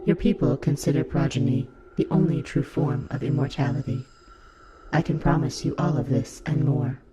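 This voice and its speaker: A man voicing a calm, seductive fungal hive-mind creature in an audio play, speaking in slow, formal, persuasive phrases. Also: medium pitch, very noisy, natural voice. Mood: seductive, promising. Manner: slow, formal, hypnotic rhetorical questioning.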